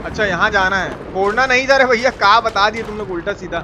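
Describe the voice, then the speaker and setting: A young man with a Bihari accent and a high-pitched voice livestreams a video game. He chats casually and colloquially with viewers, throwing in playful exclamations. Game sound runs as a clear noise under his voice. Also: fast talker. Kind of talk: casual live gameplay commentary, chatting with viewers.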